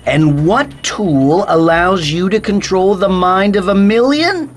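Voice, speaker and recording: A slow, ruthless tyrant. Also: male voice, sits high, expressive, faint music behind. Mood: grandiose menace. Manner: deliberate, grandiose, with dramatic pauses. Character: ruthless male tyrant ruling by fear, coldly menacing. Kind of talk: audio drama villain monologue.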